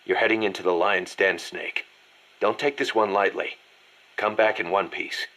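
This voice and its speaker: A man playing a gruff, serious military second-in-command in a dramatic game audio scene, speaking with emphasis. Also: sits low, fairly even intonation, normal speed, pushed and bright, poor audio. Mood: stern. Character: gruff, urgent military second-in-command briefing his boss.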